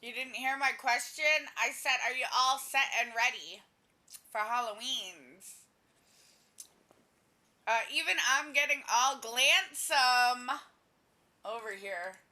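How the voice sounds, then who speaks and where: A woman's voice: on the high side, slow, pauses often, pushed and bright. A woman livestreaming a game, chatting casually with her viewers in an exclamatory way. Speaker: game streamer. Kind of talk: casual livestream gameplay chat. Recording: game music behind voice. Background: video game music.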